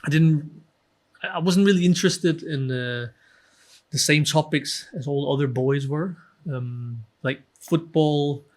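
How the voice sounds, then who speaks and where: A man in his thirties from Denmark, talking as a podcast guest about his childhood, relaxed and self-deprecating, with many ums and restarts. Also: fairly high pitch, unhurried. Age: 30s. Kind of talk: casual interview talk.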